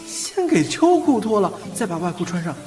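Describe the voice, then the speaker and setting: A man's voice: sits high, big pitch swings, normal speed. A man acting a bashful, cheeky young man who stammers and gets flustered with his older boyfriend in a Chinese audio drama. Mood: flustered, protesting. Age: young adult. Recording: loud background music.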